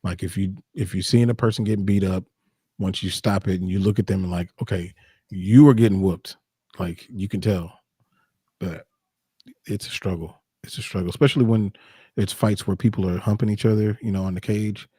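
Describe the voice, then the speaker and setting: A man co-hosting a casual live sports chat, talking in an informal, easygoing and halting way. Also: deep voice, steady tone, dark tone, slightly rough, no echo. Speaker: live stream co-host.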